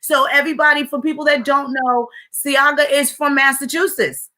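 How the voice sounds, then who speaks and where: A woman from the northeastern United States hosting a casual history discussion, talking fast and emphatically with strong opinions. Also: sits high, little pitch movement, sharp tone. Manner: fast, emphatic, opinionated, acts out quoted voices. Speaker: podcast host.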